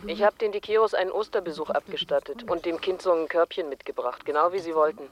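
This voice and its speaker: An actor playing a put-upon but dutiful junior police colleague in a radio drama, matter-of-fact with some exasperation. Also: male voice, high-pitched, quick pace, close to an everyday voice. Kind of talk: German radio drama acting.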